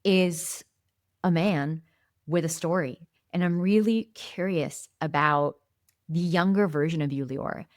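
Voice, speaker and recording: A woman in her thirties hosting an interview podcast, speaking reflectively and choosing her words deliberately, with repetitions for emphasis. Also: deep voice, no echo, studio quality. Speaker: podcast host.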